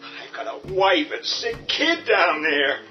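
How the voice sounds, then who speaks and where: A man's voice: high-pitched, very animated, slow pace, heavily acted. A man playing a panicky, stubborn father. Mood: indignant. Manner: agitated, quarrelsome, rising shouts. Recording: dull distant audio over music.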